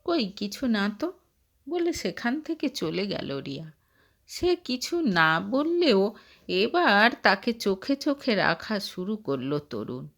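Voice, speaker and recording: A woman's voice: mid-range voice, a bit bright. A woman narrating a scripted story in a dramatic storytelling style.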